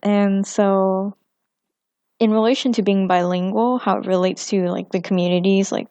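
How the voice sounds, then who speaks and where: A young woman hosting a casual podcast chat, relaxed and rambling with many fillers. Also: medium pitch, moderate pace, dry recording. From Vietnamese American family. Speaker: podcast host.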